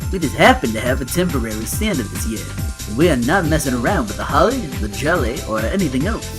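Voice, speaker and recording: A man plays a grumpy old-hand elf in a holiday radio comedy, blunt and gruff. The pitch is middling, with music clearly behind. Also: big pitch swings, brisk, natural voice. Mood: scolding. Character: tough, grumpy veteran head elf. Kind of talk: comic audio drama.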